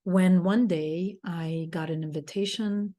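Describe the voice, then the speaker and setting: A woman's voice: lowish voice, steady tone, unhurried. A woman co-hosting a talk show, telling a personal story in a rambling, easygoing way with small laughs. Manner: rambling, restarts, soft laughs. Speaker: co-host and speaker. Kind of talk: storytelling in casual chat.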